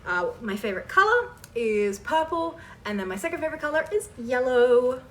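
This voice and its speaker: A woman's voice: high-pitched, sing-song, moderate pace, fairly bright tone. A woman in her twenties answering questions in a casual, rambling chat, often interrupting herself. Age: 20s. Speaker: online voice performer answering fan questions. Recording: distant mic with clear background noise.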